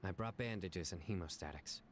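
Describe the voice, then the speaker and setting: A man playing a stern, composed but considerate young lawman in a video game, measured and authoritative. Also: deep voice, very noisy, close to an everyday voice. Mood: businesslike.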